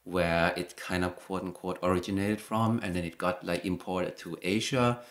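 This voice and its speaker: Very low-voiced man. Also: steady tone, moderate pace, fairly bright tone. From Thailand. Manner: hesitant, many fillers and restarts. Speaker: guest. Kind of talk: casual conversation.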